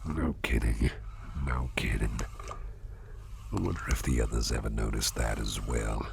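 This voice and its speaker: A man playing a gentle, doting businessman boss in an ASMR roleplay, murmuring soothingly in a gravelly, breathy half-whisper. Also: a bass voice, steady tone, measured pace, noisy background, heavily acted. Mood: musing, bemused. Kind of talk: ASMR roleplay, personal attention.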